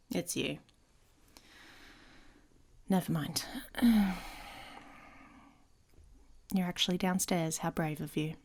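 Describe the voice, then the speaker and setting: A woman playing a haughty, domineering female werewolf in an intimate ASMR roleplay, mocking and commanding, often dropping into a breathy whisper. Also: lowish voice, darkish tone. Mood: sarcastic, mocking. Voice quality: husky, breathy.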